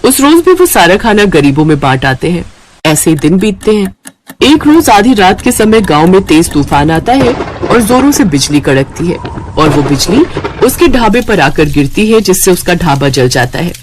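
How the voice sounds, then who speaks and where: A woman reads a story as an expressive narrator. Her pitch swings in a lively way, with faint noise behind her. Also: normal speed.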